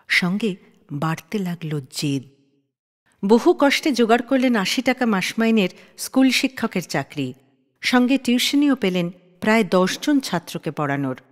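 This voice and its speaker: A woman hosting a radio show, telling a scripted story in a dramatic manner with pauses for emphasis. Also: medium pitch, long reverb tail.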